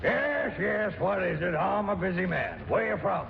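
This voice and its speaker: A pompous, middle-aged government man with a high, swooping voice. He blusters officiously in 1940s radio comedy style. The old broadcast sounds dull, with faint noise. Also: expressive, moderate pace, vocal fry, heavily acted. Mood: impatient, officious.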